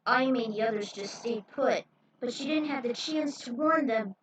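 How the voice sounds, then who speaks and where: A woman's voice: a little high, normal speed, slightly rough, low-quality recording. A woman reading a story aloud and adding casual commentary of her own. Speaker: narrator of a fan fiction reading.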